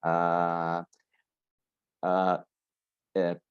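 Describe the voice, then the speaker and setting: A man with a deep, low voice and a Brazilian accent delivers an academic seminar talk. His first language is Portuguese, and he moves carefully, with frequent pauses and fillers. He is heard through a video call. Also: completely flat delivery, clear, resonant tone, highs cut off. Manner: slow, hesitant, frequent drawn-out pauses. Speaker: guest lecturer.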